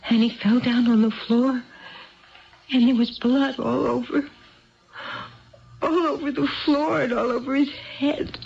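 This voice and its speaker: Distraught confused wife, high voice, tearful, repetitive rambling, old radio drama, muffled recording. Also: female voice, fairly even intonation, measured pace, creaky, long pauses, darkish tone. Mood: frantic, bewildered. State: crying. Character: distraught, confused wife confessing she killed her husband. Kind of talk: 1970s radio drama acting.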